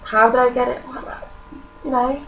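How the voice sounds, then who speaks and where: A woman's voice: a little high, fairly even intonation, moderate pace, fairly bright tone, slight background noise, poor audio. An eighteen-year-old woman from Poland with a Polish accent, talking candidly to camera in a personal vlog, with many fillers. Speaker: vlogger.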